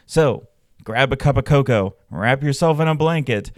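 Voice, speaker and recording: A man hosting a podcast, reading scripted narration and stories in a measured, dramatic way. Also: medium pitch, clean studio sound. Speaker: podcast host and storyteller. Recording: roomy echo, faint music behind. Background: faint background music.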